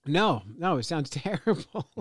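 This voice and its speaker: A man's voice: a little high, expressive, unhurried, dry recording, studio quality. A man hosting a podcast, chatting casually and laughing often at his own jokes. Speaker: podcast host. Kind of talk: casual chat.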